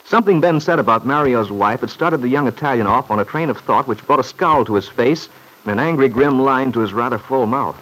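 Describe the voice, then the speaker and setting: Male old-time radio narrator, medium pitch. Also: a bit bright, low-quality recording. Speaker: announcer and narrator. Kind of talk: 1940s radio scripted narration.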